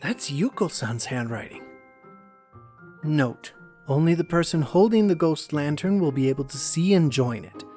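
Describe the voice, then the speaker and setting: A man's voice: fairly high pitch, lively intonation, normal speed, warm tone, faint music behind, lightly acted. A man voicing a fussy, melodramatic teenage boy in an anime dub style. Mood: puzzled reading. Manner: melodramatic, whiny. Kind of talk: amateur anime dub acting.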